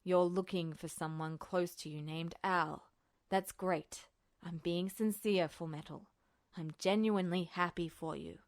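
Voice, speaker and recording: A woman voicing an older military man, a smug colonel who talks in a measured, earnest, insistent way, in an audiobook story. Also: on the low side, steady tone, dry recording, clean studio sound, voice pushed down. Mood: earnest, sad. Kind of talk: fan fiction audiobook dialogue.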